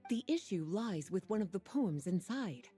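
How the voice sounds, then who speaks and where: A poised game sorceress-librarian, speaks in a breathy, lower-set voice. Her intonation is expressive and lilting. She sounds unhurried, knowing and playfully teasing, with faint music behind her. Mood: knowing, explanatory. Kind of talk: video game character dialogue.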